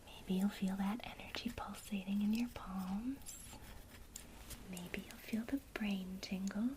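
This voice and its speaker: A woman whispering an ASMR witchcraft lesson, gently encouraging a listener she addresses as her student. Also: medium pitch, steady tone, noisy background. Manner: speaks to the listener as a student, pausing for imagined replies.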